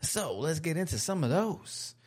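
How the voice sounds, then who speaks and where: A man's voice: on the high side, sing-song, normal speed, darkish tone, husky. A man co-hosting a podcast in a casual, upbeat chat, often repeating his phrases. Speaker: podcast co-host.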